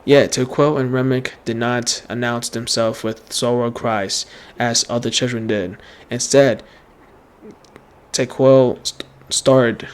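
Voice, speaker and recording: A man streaming a video game, reading story text aloud dramatically and haltingly, stumbling and repeating words. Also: mid-range voice.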